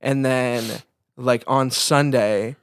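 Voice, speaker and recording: A man's voice: medium pitch, steady tone, drawn-out words, smooth, dry recording, clean studio sound. A man chatting casually as a podcast co-host, in an informal conversational style.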